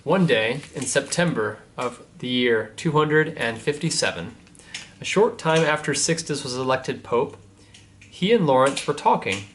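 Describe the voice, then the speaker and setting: A man, a priest, reading a saint's storybook aloud to children in a measured way with casual asides. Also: mid-range voice, expressive, a bit bright, a little hiss or hum.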